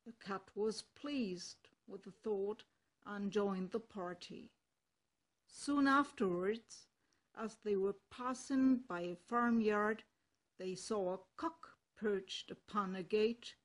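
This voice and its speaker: An older woman from Germany with a German accent, reading a fairy tale aloud in a gentle, unhurried way. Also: mid-range voice. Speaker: storyteller.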